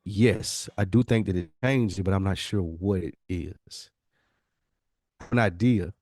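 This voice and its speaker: An American man co-hosts a casual podcast in a fairly deep voice. He is plainspoken and blunt, often restating a phrase to drive it home. The recording is dry and free of echo. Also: a little slow, a little mellow. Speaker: podcast co-host. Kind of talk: casual discussion.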